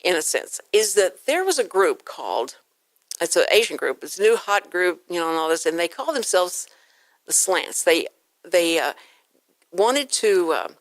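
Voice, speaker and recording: A woman hosting a talk show in a casual, chatty way, telling stories in an animated manner. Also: fairly low pitch, moderate pace, no echo.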